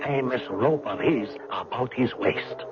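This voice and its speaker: A man's voice: medium pitch, measured pace, natural voice. An actor playing a soldier who recounts his own prison-escape exploits in an old-time radio drama. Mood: measured, recounting. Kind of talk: first-person radio drama narration. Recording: dull old radio recording with music.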